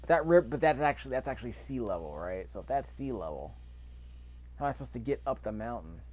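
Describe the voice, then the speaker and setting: A man's voice: medium pitch, sing-song, fast, darkish tone. A man giving casual gameplay commentary, thinking aloud with mild grumbling and rhetorical questions. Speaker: video game player narrating a playthrough.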